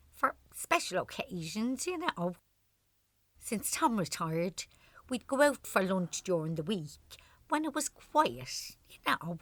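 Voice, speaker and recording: A woman's voice: mid-range voice, no room sound. A woman playing an affectionate, teasing Irish wife in her sixties in a radio drama. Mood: fond, reminiscing.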